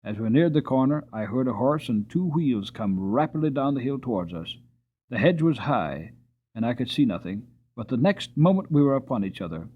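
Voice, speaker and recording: A man reading a storybook aloud as a dramatic, expressive audiobook narrator telling a tale in the first person. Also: medium pitch, breathy edge, phone-quality sound. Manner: expressive, slowing on sad passages.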